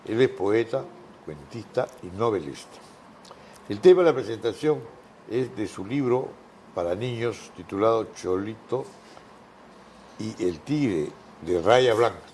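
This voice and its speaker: A man hosting an informal interview, chatty and quick to agree. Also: lowish voice, animated, darkish tone, a little hiss or hum, rough recording. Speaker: interview host.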